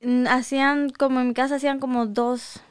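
A woman's voice: high-pitched, little pitch movement, clear, resonant tone, no room sound, clean studio sound. A young Dominican woman with a Dominican Spanish accent, reminiscing unhurriedly in a casual chat. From Dominican Republic. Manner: unhurried, reminiscing with pauses and restarts.

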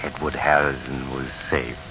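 A man's voice: a bass voice, little pitch movement, moderate pace, bright, forceful tone, a little hiss or hum. A man performing as the ominous narrator of an old radio crime drama. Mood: measured, suspenseful. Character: ominous storyteller of a crime tale. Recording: dull old radio recording. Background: dramatic music.

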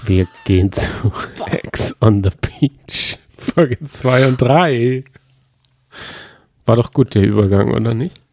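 A man's voice: mid-range voice, takes it slowly, darkish tone, dry recording. A young man co-hosting a podcast, chatting casually in a joking, self-ironic way. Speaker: co-host of a reality TV podcast. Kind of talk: casual chat.

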